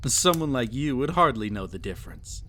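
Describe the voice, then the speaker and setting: A man's voice: mid-range voice, expressive, sharp tone, slight background noise. A man playing a cruel, possessive emperor with a haughty, silky, condescending manner in a scripted roleplay addressed to the listener. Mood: doting, mocking. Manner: haughty, silky, condescending, theatrically drawn-out delivery. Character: cruel, possessive, mocking young emperor pampering a captive pet.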